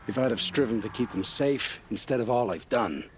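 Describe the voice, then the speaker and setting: A man's voice: mid-range voice, lively intonation, brisk, slight background noise, close to an everyday voice. A man acting an earnest, honour-bound, guilt-ridden family man in a video game, speaking in formal old-fashioned phrases. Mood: anguished.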